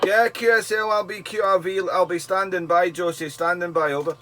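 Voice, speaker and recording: A man's voice: sits high, steady tone, a little fast, background noise. A man chatting casually as a radio operator, often repeating his phrases for emphasis. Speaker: radio operator and YouTube channel host. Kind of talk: casual ham radio chat.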